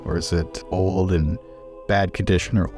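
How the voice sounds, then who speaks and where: A man with a low voice plays a tabletop roleplaying game. He speaks thoughtfully and deliberately, inquisitive as he questions and describes actions, over faint music. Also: fairly even intonation. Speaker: player in a roleplaying podcast.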